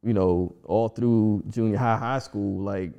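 A man's voice: on the low side, fairly even intonation, measured pace, warm tone, dull audio. A man in his forties talking casually and reflectively as a guest, with frequent restarts and fillers. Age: 40s. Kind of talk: casual conversation.

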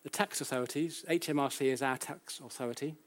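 A British man giving an academic lecture in a conversational, explanatory way, often repeating and correcting himself and posing rhetorical questions. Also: medium pitch. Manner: halting, self-correcting, with frequent repetitions. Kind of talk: public lecture, explanatory and anecdotal.

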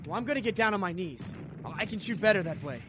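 An actor playing an anxious, jumpy soldier under fire in a war radio drama. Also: male voice, high voice, expressive, fast, fairly bright tone, a little hiss or hum. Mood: uneasy.

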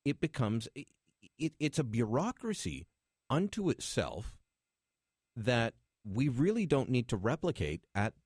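A man's voice: mid-range voice, big pitch swings, a little slow, darkish tone, dry recording, studio quality. A man hosting a call-in radio talk show, arguing his points with a pointed, skeptical manner. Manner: argumentative, pointed.

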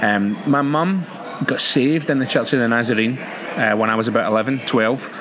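Glaswegian man over clear cafe noise. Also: medium pitch, normal speed. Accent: Glaswegian Scottish. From Glasgow, Scotland. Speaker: associate pastor, podcast guest. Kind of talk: conversational personal testimony. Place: coffee house. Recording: noisy coffee house ambience. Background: cafe background noise.

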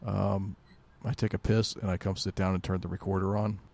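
Laid back guy on a podcast, kinda low gravelly voice, dry humor, just chatting. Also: level tone, a little fast, a little mellow, slight background noise. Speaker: podcast co-host. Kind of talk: casual chat.

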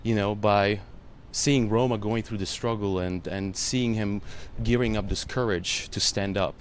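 Russian-born male actor at a panel: low-pitched, heartfelt, halting delivery over faint room noise. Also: fairly even intonation. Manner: earnest, emotional, many "you know" fillers. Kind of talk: panel interview answer.

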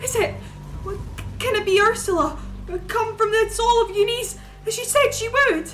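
Brief: terrified, guilty woman for gothic audio story; very high, piercing voice, bright and tense, melodramatic. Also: moderate pace, faint music behind. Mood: panicked. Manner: shrill, melodramatic. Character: frantic, guilt-ridden woman terrified by an apparition. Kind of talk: dramatised gothic ghost story acting.

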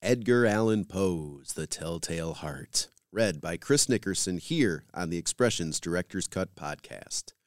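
A man presents a scripted podcast in a measured announcer style. His voice sits a little low and moves up and down with lively intonation. The sound is dry and close, free of room echo. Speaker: podcast host. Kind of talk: scripted podcast host narration.

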